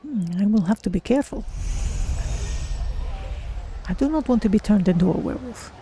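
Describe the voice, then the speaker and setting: A streamer voicing a polite, curious cat-folk adventurer in a measured, formal manner while roleplaying a game. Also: mid-range voice, expressive, warm tone, a little hiss or hum, close to an everyday voice. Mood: curious, musing.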